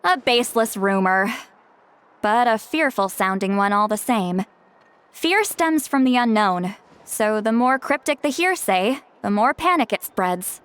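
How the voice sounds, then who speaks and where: A woman playing a calm, kindly elder in a video game, speaking in a measured, composed, formal way. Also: fairly high pitch, clean tone. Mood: calm, thoughtful.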